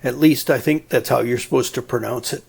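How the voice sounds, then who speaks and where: A man's voice: medium pitch, a little fast, vocal fry, darkish tone, breathy edge. A man narrating a DIY electronics explainer in a plain-spoken, methodical and mildly folksy manner. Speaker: electronics hobbyist presenter.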